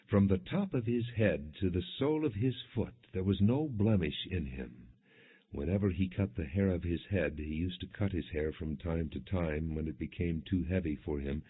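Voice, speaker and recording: A man narrating scripture as an audiobook, reading in a measured, formal storytelling manner. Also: low-pitched, slightly rough. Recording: muffled lo-fi, phone-like band.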